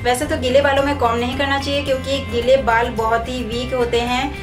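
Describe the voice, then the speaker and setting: A young woman with a slightly high voice talks through a hair care routine in a calm, patient, instructive way. She sits some way back from the camera's mic, so her voice sounds distant. Clear music plays behind her the whole time. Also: brisk. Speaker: beauty vlogger. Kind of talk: step-by-step tutorial. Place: small room indoors.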